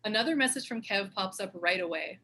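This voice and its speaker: A woman's voice: medium pitch, lively intonation, a little fast, bright, forceful tone. A woman reading screen directions as scripted narration at a table read.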